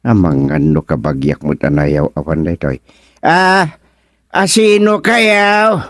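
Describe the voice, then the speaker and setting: A man playing a flustered older village councilman in an Ilocano radio comedy, muttering and exclaiming with drawn-out words in an Ilocano accent. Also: sits low, no room sound, studio quality. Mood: baffled, flustered.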